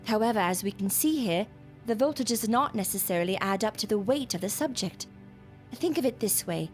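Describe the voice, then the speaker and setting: A woman's voice: mid-range voice, lively intonation, moderate pace, faint music behind, close to an everyday voice. An actress playing an earnest, exacting woman scientist in a gothic audio drama, speaking formally and precisely. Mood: intent, explaining. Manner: formal, precise, lecturing diction.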